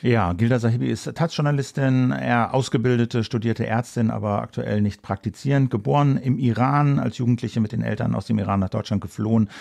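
A man co-hosting a political news podcast, talking briskly and conversationally and introducing topics and a guest. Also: mid-range voice, gravelly, a little mellow, no echo. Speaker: podcast co-host, journalist.

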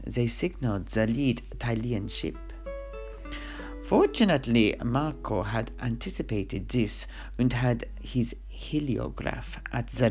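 A man narrating a fantasy history as a storyteller, with dramatic pauses and direct asides to the listener. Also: mid-range voice.

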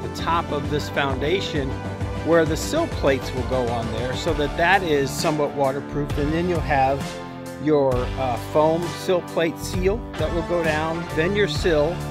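Middle-aged man explaining, slightly higher-pitched voice. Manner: plain-spoken, instructional. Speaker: vlog host showing a home build. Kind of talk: casual explanatory walkthrough. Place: outdoors at a concrete foundation construction site. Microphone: handheld camera at arm's length.

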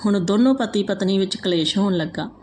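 A woman reading out a moral folk tale as a storyteller, in a steady, even manner. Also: mid-range voice, a little fast, a little hiss or hum.

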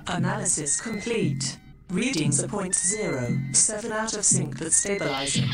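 A woman's voice: mid-range voice, expressive, moderate pace, over loud music, close to an everyday voice. A woman voicing a sassy virtual medical assistant with polite computer phrasing in a sci-fi comedy audio drama.

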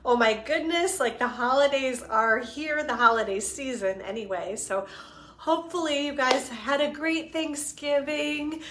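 A woman in her forties, a bit hoarse from a cold, chattily demonstrating craft supplies on a home live stream and greeting viewers by name. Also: high voice, measured pace, a bit bright. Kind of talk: casual live-stream demo. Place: living room at home.

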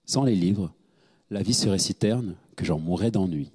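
A young man reading short texts aloud in a calm, measured way, into a handheld mic in a studio. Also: sits low, breathy edge, long reverb tail. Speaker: actor reading dedications. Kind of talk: read-aloud. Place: small studio set.

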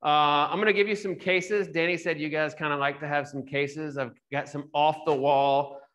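A middle-aged man, a physician speaking loudly and casually to students in a large lecture hall. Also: on the high side, steady tone, normal speed. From Texas. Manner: loud, self-deprecating. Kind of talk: casual talk to a student audience.

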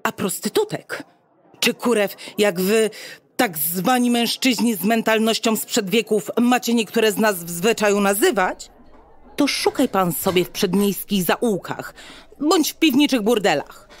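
An actress playing a brisk, officious receptionist at a futuristic state clinic, speaking in formal, bureaucratic sales patter in an audio drama. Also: female voice, mid-range voice, expressive. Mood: indignant, scolding. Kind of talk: Polish audio drama acting.

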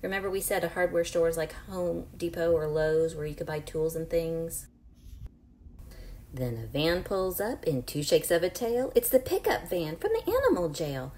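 A woman in her forties reading aloud to young children in an animated, questioning, teacherly way. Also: lowish voice, moderate pace, roomy sound. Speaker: children's storybook reader. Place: small home room, indoors.

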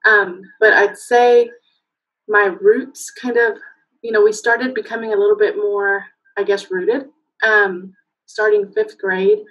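A woman's voice: medium pitch, level tone, slow pace, muffled recording. A woman in her thirties from Mexico whose first language is Spanish, telling stories with fillers and laughter on a video call from home. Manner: warm storytelling, lots of fillers, bursts of laughter. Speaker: guest. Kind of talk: casual interview over video call. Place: home room, indoors. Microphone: laptop webcam on a video call.

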